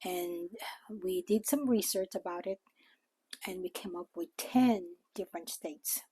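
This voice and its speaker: Slow-talking older Filipino woman. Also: lowish voice, mellow, husky. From Philippines. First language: Tagalog. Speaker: vlogger. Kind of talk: informal talk to camera.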